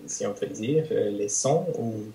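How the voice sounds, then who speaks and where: A man's voice: fairly low pitch, measured pace, dark tone. A man with a Canadian French accent, a polyglot guest on a podcast, talking hesitantly with many restarts and fillers. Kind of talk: casual conversation.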